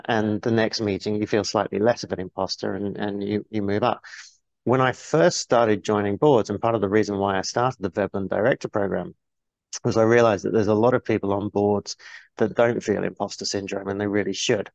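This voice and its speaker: Man, 40s, low gravelly voice, candid and rambling, dull dry video-call sound. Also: fairly even intonation, brisk, dry recording. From born in New Zealand, grew up in the UK. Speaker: guest, business board coach. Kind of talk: casual interview talk. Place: small bright room, indoors. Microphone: earphone mic on a video call.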